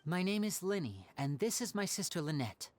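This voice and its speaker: A woman voicing a suave, courteous young male magician in an anime-style game, with a smooth, measured, theatrical delivery. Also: lowish voice, very animated, darkish tone, faint music behind. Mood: reassuring.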